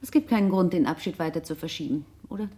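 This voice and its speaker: A woman's voice: on the low side, expressive, fast talker, dark tone, slightly rough. An actress playing a self-assured, talkative woman with an ironic, pointed way of speaking in a naturalistic radio drama. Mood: wry, talkative. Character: self-assured, sardonic, independent older girlfriend of a runaway man.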